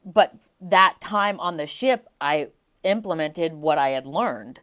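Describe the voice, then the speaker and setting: A woman in her young adult years chats as a guest in an interview, telling stories in an animated way. Her voice sits a little low, with a pitch that rises and falls a lot. She laughs often as she talks. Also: slow pace. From Las Vegas, US. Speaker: guest singer. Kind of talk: casual interview chat.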